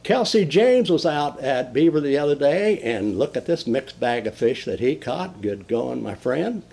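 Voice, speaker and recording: An older man with a twang and a husky, slightly worn voice. He hosts a folksy fishing report from a cozy den, excited and rambling. He talks straight to the camera with lots of fillers and restarts. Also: mid-range voice, a little slow. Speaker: fishing show host. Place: indoor den with mounted fish on the wall.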